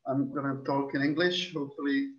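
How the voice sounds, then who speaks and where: A man's voice: medium pitch, steady tone, fairly quick, lo-fi sound. A Czech man, whose first language is Czech, introducing his youth outreach work and searching for words. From Czech Republic.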